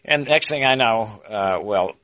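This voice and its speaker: A middle-aged man with a big booming voice, a guest telling stories in a casual chat, animated and halting with many restarts. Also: dry recording. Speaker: podcast guest, veteran TV reporter.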